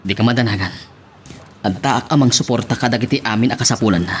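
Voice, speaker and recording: A man with a Filipino accent playing a calm, earnest, reassuring lover in an amateur audio drama, with noise behind him. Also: medium pitch, fast, lightly acted. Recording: steady background noise.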